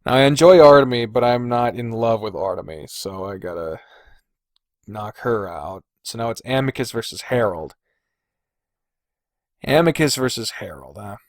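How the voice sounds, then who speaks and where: A man's voice: mid-range voice, moderate pace, long pauses, dry recording, clean studio sound. A man hosting a casual podcast on his own, thinking aloud in a hesitant, deliberating way and swearing freely. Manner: hesitant and deliberating, sighing, profane. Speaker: podcast host.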